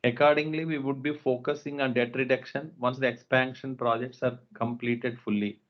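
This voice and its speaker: A man giving a formal, scripted financial presentation as a company executive, sometimes halting over the figures. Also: medium pitch, fairly even intonation. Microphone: conference call line. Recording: dull, lo-fi conference call audio.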